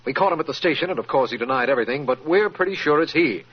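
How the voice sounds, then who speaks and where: Husky businesslike investigator. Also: male voice, a little high, lively intonation, very fast. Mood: confident. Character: confident, businesslike male crime investigator. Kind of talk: old-time radio detective acting. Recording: muffled old radio recording.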